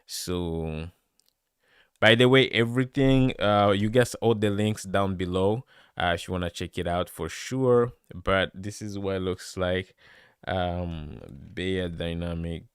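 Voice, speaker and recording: Deep bassy guy rambling excitedly on a live stream, dry close mic, super clean. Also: slow, smooth, dry recording. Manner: chatty, excitable, many fillers. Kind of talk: casual live stream product review. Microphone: studio dynamic microphone close up.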